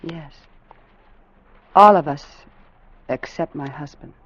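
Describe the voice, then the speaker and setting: An actress playing the anxious, well-spoken wife of a ship's captain in an old-time radio drama. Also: female voice, deep voice, slow, a bit bright, exaggerated voice. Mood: polite, brittle. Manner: polite, dramatic delivery. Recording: muffled, noisy vintage radio recording.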